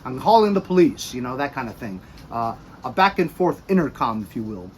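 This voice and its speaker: A middle-aged American man giving a casual, chatty product review with animated emphasis, recorded by a camera a few feet away in a garage. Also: mid-range voice, a little fast, slight background noise. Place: cluttered garage or workshop.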